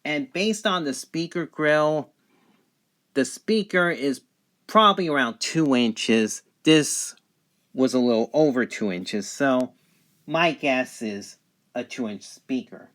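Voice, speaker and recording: A man giving an unscripted product review, speaking haltingly in short phrases with pauses between them. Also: medium pitch, animated, fairly bright tone. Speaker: gadget reviewer. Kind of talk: unscripted product review, describing features on camera.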